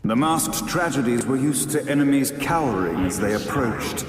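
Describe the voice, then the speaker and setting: An actor playing a grandiose stage narrator in a video game, declaiming florid lines in a measured, dramatic way. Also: male voice, medium pitch, animated, natural voice. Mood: grand, ominous. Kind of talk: theatrical scripted game narration. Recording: echoey hall, distant, noisy backdrop.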